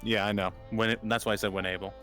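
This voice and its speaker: A man with a fairly low voice speaks in a measured, compliant way as a roleplay participant. Music plays faintly behind him. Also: level tone, bright, forceful tone. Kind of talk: in-character roleplay dialogue.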